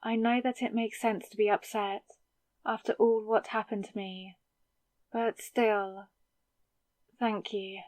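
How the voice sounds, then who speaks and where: A woman playing a newly turned vampire, sarcastic and rattled, in a conversational, exasperated audio roleplay with hesitant pauses. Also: medium pitch, flat delivery, darkish tone, no room sound, studio quality, natural voice. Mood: hesitant, grateful. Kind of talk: scripted ASMR audio roleplay.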